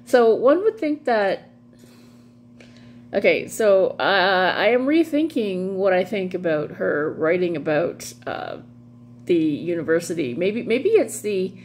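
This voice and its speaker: A woman's voice: lowish voice, very animated, slow. A middle-aged woman vlogging casually in a wry, amused way, wandering off into asides. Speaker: vlog host doing dramatic readings. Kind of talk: casual rambling commentary. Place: small room at home, indoors. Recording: clearly audible background hum noise.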